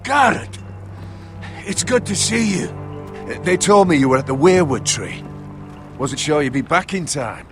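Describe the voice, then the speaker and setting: Grave middle-aged uncle, music behind. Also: male voice, a little high, big pitch swings. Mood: warm greeting. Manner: measured, formal, archaic phrasing. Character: grave, devoted middle-aged uncle serving a noble house. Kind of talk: video game drama acting.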